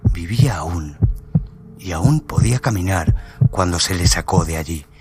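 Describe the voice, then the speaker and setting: A man narrating a dramatic story in a solemn, grave, scripted storytelling manner. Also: low-pitched. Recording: loud music under the voice.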